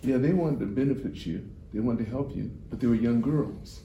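A man's voice: medium pitch, moderate pace, mellow, roomy sound, highs cut off, slight background noise. A man hosting a podcast, asking questions in a teasing, easygoing way. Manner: teasing, laughs readily. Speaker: podcast host. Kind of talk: casual interview.

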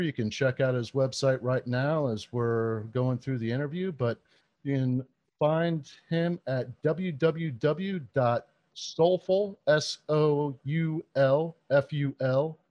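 A man's voice: medium pitch, slow, a little mellow, dull audio. Man hosting a podcast interview. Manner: reads a guest bio, then chats with fillers. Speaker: podcast host. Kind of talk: interview introduction and questions.

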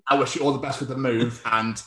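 A man's voice: medium pitch, steady tone, quick pace, crowd noise. A man co-hosting a show in casual chat, enthusiastic and sweary, recorded with an echoey room sound. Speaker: show co-host.

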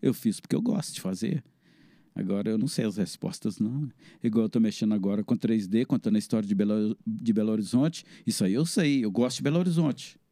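A man's voice: fairly low pitch, dark tone, no echo, clean studio sound. A man, a guest in an interview, talking plainly and telling his own story at length. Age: 60s. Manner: plain-spoken, evasive, drawn-out storytelling. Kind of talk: casual interview talk.

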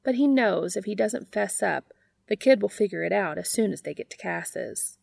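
A woman's voice: medium pitch, expressive, moderate pace, gravelly, darkish tone, no room sound. A woman reading fan fiction aloud as scripted story narration.

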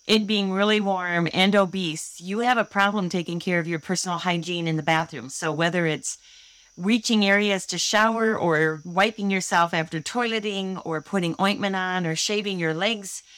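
A woman's voice: fairly low pitch, fairly even intonation, normal speed, fairly bright tone, smooth, no room sound. A woman in her sixties from Michigan, telling her own story earnestly and candidly as a guest on an interview show. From Holland, Michigan, USA. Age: 60s. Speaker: guest inventor.

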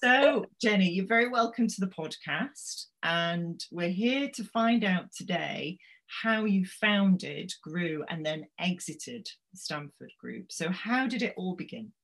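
A woman's voice: on the low side, animated, measured pace, fairly bright tone. A woman hosting a business podcast, asking her guest questions in a friendly, encouraging way. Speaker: podcast host. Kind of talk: interview hosting.

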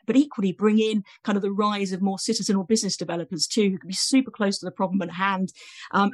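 A British woman conducts a tech interview at a rapid clip. She gushes with enthusiasm, leaning on fillers between her points. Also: mid-range voice, darkish tone. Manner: enthusiastic, effusive, many fillers.

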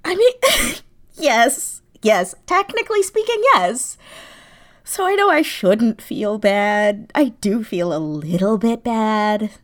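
A woman speaking casually as an interview guest, animated and self-deprecating, and quick to laugh. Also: a little high. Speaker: interview guest, audio drama writer and performer.

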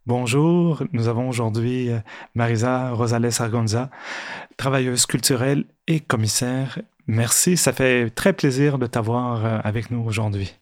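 A man's voice: medium pitch, normal speed, no echo. A man hosting an interview, asking questions with fillers and self-corrections. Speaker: interview host.

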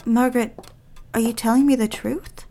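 A woman's voice: a little high, fairly even intonation, moderate pace, mellow, slight background noise, lightly acted. A woman playing an earnest, lonely young woman in an audio drama, prim and coaxing, eager to be a friend.

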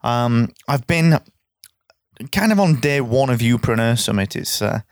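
A man hosting his own podcast, talking in an energetic, chatty way with a hoarse, croaky voice. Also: mid-range voice, measured pace, no room sound, clean studio sound. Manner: energetic, chatty, repeats words. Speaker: podcast host. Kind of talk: straight-talking solo podcast.

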